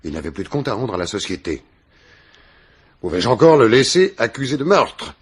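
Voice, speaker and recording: An actor playing a proud, haughty gentleman in a radio mystery, speaking formally and stiffly. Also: medium pitch, very animated, moderate pace, husky. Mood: earnest appeal. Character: haughty, proud, indignant upper-class gentleman defending family honour. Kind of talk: French radio mystery play acting.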